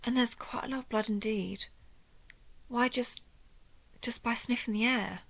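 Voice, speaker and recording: A woman playing an injured vampire who hunts her own kind, protective, proud and wry, in a measured, intimate audio roleplay. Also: a little high, vocal fry, fairly bright tone, exaggerated voice, rough recording. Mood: somber. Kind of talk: scripted audio roleplay addressed to the listener.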